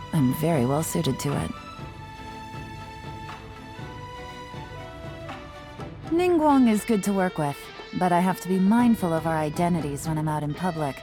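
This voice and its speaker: A woman voicing a cool, shrewd, teasing intelligence agent in a video game, speaking in a composed, measured, sly manner. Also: on the low side, warm tone, natural voice. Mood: playful, assured. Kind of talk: scripted video game character monologue.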